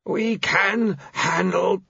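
A man's voice: high voice, extremely slow, creaky, sharp tone, slightly rough, no room sound, highs cut off, pitched above the performer's own voice, heavily acted. A man voicing a whiny, nagging horseman of the apocalypse who complains self-righteously.

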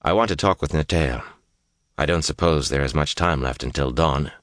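Man acting a very deep, cold, commanding lord. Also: moderate pace, fairly bright tone, dry recording, clean studio sound. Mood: terse, commanding. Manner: cold, rough, terse commands and threats. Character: hard young male lord, cold, commanding, threatening.

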